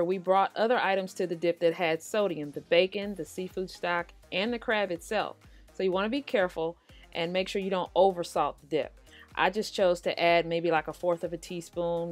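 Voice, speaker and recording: An American woman hosting a cooking show, narrating a recipe casually and talking directly to viewers in a conversational way. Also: on the low side. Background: background music.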